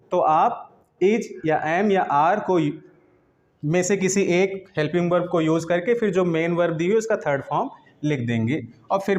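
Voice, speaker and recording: A man's voice: high-pitched, a little mellow. A man with an Indian accent teaching grammar step by step, repeating phrases and asking questions that he then answers himself. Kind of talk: classroom lesson, explaining step by step in Hindi mixed with English terms.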